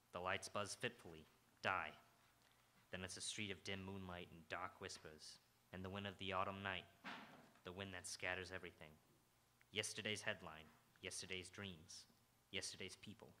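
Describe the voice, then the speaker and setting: Guy acting hard boiled radio detective, low voice, really sharp and punchy, terse, bit of noise. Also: fairly even intonation, normal speed, long pauses. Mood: wistful narration. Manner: terse, dramatic delivery. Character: hard-boiled male Broadway detective, blunt and world-weary. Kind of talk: old-time radio crime drama acting. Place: auditorium stage, live radio theatre. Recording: live stage recording with faint noise.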